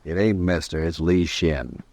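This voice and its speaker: Low-voiced surly Western drifter. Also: male voice, unhurried, darkish tone, no room sound. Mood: surly, probing. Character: surly, menacing drifter from Texas, itching for trouble. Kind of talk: 1950s Western radio drama acting.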